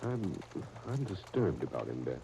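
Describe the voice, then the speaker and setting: A very deep, thoughtful gentleman. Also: very animated, normal speed, vocal fry, exaggerated voice. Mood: concerned. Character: mature, thoughtful gentleman, a concerned uncle. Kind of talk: 1930s radio soap opera acting. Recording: noisy 1939 radio disc recording.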